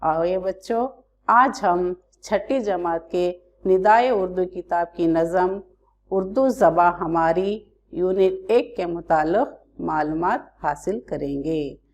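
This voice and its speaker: A woman's voice: on the low side, slow, a little mellow. A woman teacher from India giving an online class, asking pupils questions and explaining carefully.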